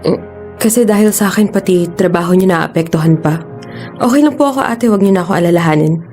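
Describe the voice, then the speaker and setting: An actress playing a young student, a vulnerable little sister, in a radio drama. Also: female voice, fairly low pitch, quick pace, darkish tone, soft background music, close to an everyday voice. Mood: subdued, apologetic. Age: young adult.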